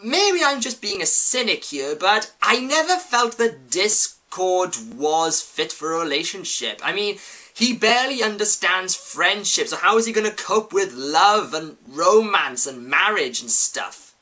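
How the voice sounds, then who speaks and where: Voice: mid-range voice, expressive, a little slow, sharp tone. A reviewer giving a scripted, opinionated commentary with emphatic delivery. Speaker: host, reviewer.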